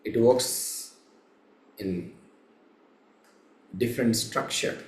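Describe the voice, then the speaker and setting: A man guiding a meditation as a teacher, speaking in measured, halting short phrases with long pauses between them. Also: medium pitch. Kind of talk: slow guided meditation instruction. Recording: roomy, echoing room sound.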